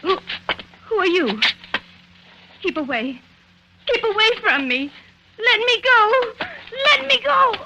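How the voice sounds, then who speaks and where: A woman playing a young working girl in a 1940s radio thriller, with a plaintive, drawn-out delivery. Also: very high voice, very animated, noisy background, heavily acted. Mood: terrified. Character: tired young working girl heading home late at night. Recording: dull vintage 1940s radio recording.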